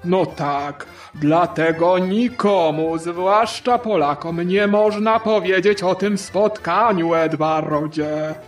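Woman doing a smug pompous male politician, really slow n drawn out, music behind, kids audio play. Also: fairly low pitch, voice pushed down. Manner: pompous, self-satisfied, dragging words. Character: smug, chummy, scheming British prime minister, middle-aged man. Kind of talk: satirical children's audio play.

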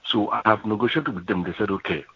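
A man on a phone call, halting and full of fillers as he explains a loan offer. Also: lowish voice, noisy background. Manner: halting, many fillers and "you know". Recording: dry, dull phone-line audio.

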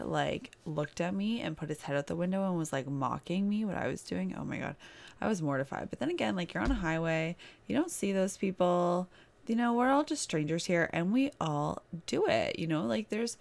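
A young woman talks through a solo podcast episode, her voice low with plenty of gravelly fry. She has a cold, so she sounds stuffy and a bit rough in the throat. Her delivery is loose and rambling, full of asides and "like". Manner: chatty and rambling, many "like"s. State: stuffy nose, sore throat. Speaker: podcast host. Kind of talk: casual solo podcast chat. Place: boyfriend's house, indoors.

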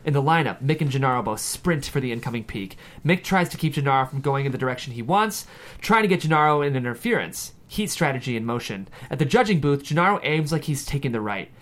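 A man's voice: medium pitch, expressive, fast talker, fairly bright tone. A man reading screenplay stage directions aloud at a table read, with dramatic scripted narration.

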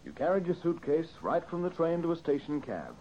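A man's voice: a little high, very animated, a little fast, dark tone, a little hiss or hum. An actor playing a confident, methodical male detective in an old-time radio mystery, explaining with emphasis. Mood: assured, accusing. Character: brisk, confident male detective laying out a case. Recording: muffled old radio recording.

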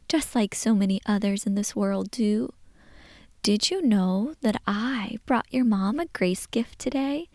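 A woman reading a tender letter from Jesus to a child in a soft whisper. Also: fairly high pitch, vocal fry, no echo, clean studio sound, heavily acted. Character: gentle loving male Jesus writing to a child.

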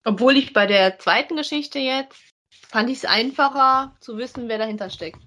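A woman's voice: a little high, normal speed, sharp tone. A woman co-hosting a podcast in casual chat, colloquial, asking questions and agreeing with her partner. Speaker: podcast co-host reviewing audio dramas.

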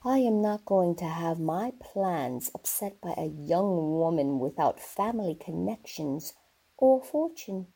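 Radio play role: imperious older lady of rank, female performer, mellow low register, rough husky edge, formal and disdainful. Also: lively intonation, a little slow, heavily acted. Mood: indignant, imperious. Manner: haughty, commanding, formal. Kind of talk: radio theater acting.

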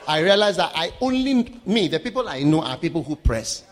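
Animated preacher man in echoing hall. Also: brisk, slight background noise. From Africa. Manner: animated, swings from shouting to low asides. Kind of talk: sermon. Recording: live sermon with long hall reverb.

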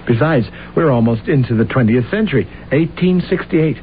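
An actor playing a boastful, ambitious young clerk with a grand, flattering manner, in old-time radio drama style. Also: male voice, mid-range voice, moderate pace, close to an everyday voice. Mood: boastful, excited. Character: boastful ambitious young man, a smooth-talking law clerk courting a lady. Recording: tinny, noisy vintage radio recording.

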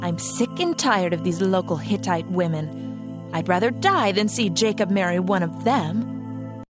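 A woman's voice: fairly low pitch, animated, measured pace, music in the background. An actress playing a protective, strong-willed biblical mother, earnest and emphatic, in an audio drama. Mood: exasperated. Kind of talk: dramatized audio Bible acting.

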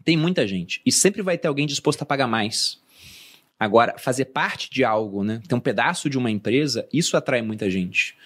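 A Brazilian man co-hosting a podcast, explaining things and telling stories in casual chat, getting animated as he goes. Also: medium pitch, moderate pace. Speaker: podcast co-host.

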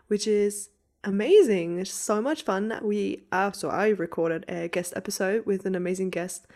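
A young woman co-hosting a podcast, chatting casually with her co-host and laughing often. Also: mid-range voice. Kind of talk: casual chat.

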